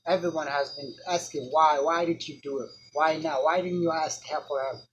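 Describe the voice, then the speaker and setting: A man's voice: on the high side, fairly even intonation, measured pace, lo-fi sound, slight background noise, lightly acted. A man reading as an insistent, questioning youth. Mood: confronting. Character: confronting youth asking painful questions. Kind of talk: dramatic monologue reading.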